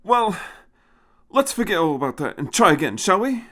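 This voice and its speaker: A man's voice: high-pitched, sing-song, moderate pace, fairly bright tone, pitched above the performer's own voice. A man voicing a polite, hesitant male human space traveller in a narrated science fiction story. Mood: hesitant, conciliatory.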